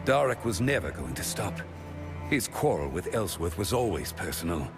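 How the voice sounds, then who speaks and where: An actor playing a smug, pragmatic official in a fantasy game, speaking in a wry, knowing, confiding way. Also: male voice, low-pitched, sing-song, moderate pace. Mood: wry, reassuring. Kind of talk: dramatic fantasy game acting. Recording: music behind the voice.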